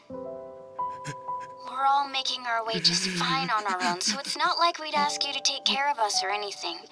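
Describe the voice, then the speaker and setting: An actress playing an earnest, caring young woman in a video game drama, with a hesitant, heartfelt delivery. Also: high-pitched. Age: young adult.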